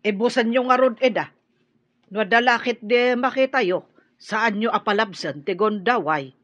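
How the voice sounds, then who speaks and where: A woman's voice: on the high side, normal speed, a bit bright, muffled recording. A woman playing a nagging, bossy figure in an Ilocano radio drama, pressing with questions, with an Ilocano accent.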